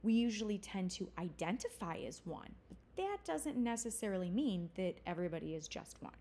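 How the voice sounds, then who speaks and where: Woman teaching a class recording, warm mellow voice, chatty and explaining, fixes herself a lot. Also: medium pitch, sing-song, brisk. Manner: conversational, explanatory, with fillers and self-corrections. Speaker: college instructor. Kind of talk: recorded lecture.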